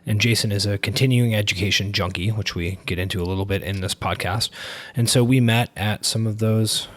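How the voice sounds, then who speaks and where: A man hosting a podcast, chatting in a relaxed way and often restarting his sentences. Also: lowish voice, brisk. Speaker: podcast host. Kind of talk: casual interview conversation.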